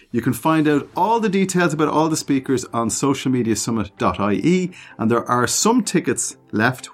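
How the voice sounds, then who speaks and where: Male podcast host, mid-range voice, promo-read then easy chat, light music underneath. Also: mid-range voice. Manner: promotional, list-reading, conversational. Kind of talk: podcast intro and interview.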